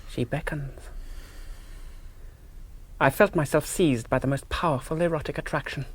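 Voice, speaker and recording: Earnest, priggish young hero, slightly high. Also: male voice, slightly rough. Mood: tempted, resolute. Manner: precise, fastidious, literary storytelling. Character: earnest, priggish young rationalist hero recounting his temptation. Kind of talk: 1970s radio drama narration.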